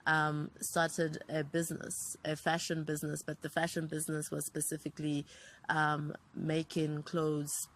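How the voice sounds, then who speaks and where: A woman in her thirties from Zambia speaks in a low register with a gravelly, fry-edged texture. She is a guest in a relaxed interview, reflective, and strings long lists of names together as she remembers. Her delivery stays unhurried and conversational. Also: slight background noise. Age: 30s. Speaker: interview guest, writer and producer.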